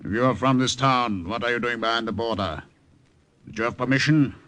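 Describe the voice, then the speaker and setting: Suspicious German sentry, acted by a man, sharp forceful bark, radio drama, demanding. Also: medium pitch, fairly quick, natural voice. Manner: barking, demanding. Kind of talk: old-time radio drama acting.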